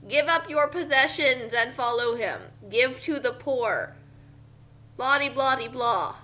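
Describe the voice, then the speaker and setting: A woman comments casually in a high, forceful voice. She sounds exasperated, exclaiming and halting as she searches for words. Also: lively intonation, low-quality recording. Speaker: co-reader voicing characters and commenting.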